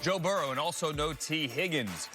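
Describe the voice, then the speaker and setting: A man's voice: a little high, lively intonation, moderate pace. A man hosting a studio sports show, talking through game previews. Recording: music behind the voice.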